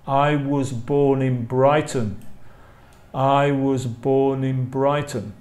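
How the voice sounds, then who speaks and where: A man's voice: mid-range voice, fairly even intonation, very slow, darkish tone. A man with an English accent teaching a language lesson, enunciating carefully and repeating phrases. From England. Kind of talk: live online language lesson, reading model questions and answers.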